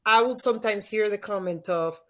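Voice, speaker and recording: A woman's voice: medium pitch, a little fast. A woman from the Dominican Republic with a Dominican Spanish accent, a native Spanish speaker, explaining her points emphatically in a conversational interview as a guest expert. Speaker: podcast guest, leadership expert and former teacher.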